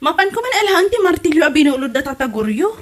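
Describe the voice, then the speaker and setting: A woman's voice: high voice, very animated, fast talker. A woman playing a chatty, friendly neighbor in an amateur comedy audio drama, full of sighing exclamations. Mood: chatty, concerned.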